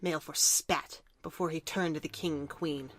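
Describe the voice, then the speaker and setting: Low-pitched woman narrating a fantasy tale. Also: fairly even intonation, moderate pace. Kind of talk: scripted storybook narration for audio drama. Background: music.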